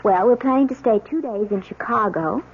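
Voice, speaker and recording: An actress playing a giddy, eager young newlywed wife in an old-time radio drama, with a gushing manner. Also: female voice, on the high side, normal speed, slightly rough. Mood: eager, proud. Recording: tinny, noisy old radio broadcast.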